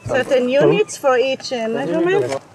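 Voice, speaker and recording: A woman's voice: high voice, animated, normal speed, over a noisy crowd. A woman explaining field monitoring outdoors, hesitating with many fillers. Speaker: field educator.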